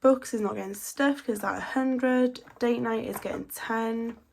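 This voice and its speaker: A woman's voice: a little high, moderate pace, vocal fry, a little hiss or hum. A woman, chatting casually and counting cash aloud, slightly blocked up from a cold. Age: 20s. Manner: chatty, steady counting of notes. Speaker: budgeting vlogger.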